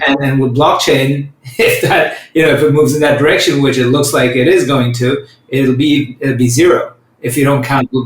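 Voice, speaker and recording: Reflective male host in a roomy space. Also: mid-range voice. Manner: measured, reflective, slows to think aloud. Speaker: podcast host. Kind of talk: conversational interview. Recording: roomy echo.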